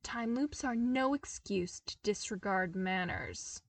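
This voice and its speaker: A woman's voice: medium pitch, slow pace, no room sound, far from the mic. A woman voicing a haughty young male crown prince in a storybook reading, curt and imperious. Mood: cold.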